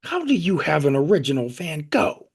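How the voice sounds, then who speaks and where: A man's voice: a little high, very animated, normal speed, breathy edge, heavily acted. A man playing a polite, earnest, inquisitive interviewer in an audio play, hesitant and prone to stammering. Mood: intrigued.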